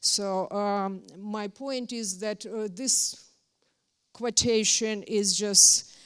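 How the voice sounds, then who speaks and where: A woman's voice: medium pitch, fairly even intonation, drawn-out words, fairly bright tone, smooth. A woman from Ukraine, speaking as a guest at a university talk in an earnest, emphatic way. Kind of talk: university lecture.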